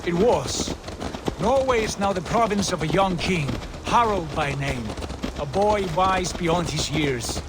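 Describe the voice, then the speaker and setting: A proud, wry Norse warrior prince from a video game. The voice sits high for a man and tells things in formal, measured phrases. Loud outdoor noise fills the background. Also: animated. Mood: reflective, admiring. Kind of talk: video game cutscene acting.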